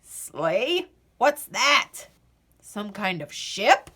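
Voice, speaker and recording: A woman's voice: medium pitch, big pitch swings, drawn-out words, sharp tone, breathy edge, heavily acted. Woman voicing a bold gingerbread pirate captain. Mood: suspicious. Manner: commanding, wary.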